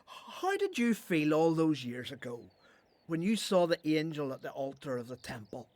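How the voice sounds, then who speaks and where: A man's voice: fairly high pitch, big pitch swings, moderate pace, a little mellow, no room sound. A man acting in a radio Bible play as a humble, earnest son called to be a prophet, speaking hesitantly.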